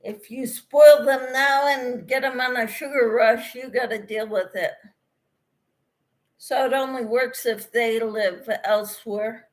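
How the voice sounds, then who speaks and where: A middle-aged woman hosts a relaxed live chat, taking her time over every sentence and reading out viewer comments. Her voice sits a little high and runs fairly even, and she laughs easily between remarks. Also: poor audio. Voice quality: speaks in a monotone, as her husband says. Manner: slow, chatty, laughs often. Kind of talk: casual livestream chat.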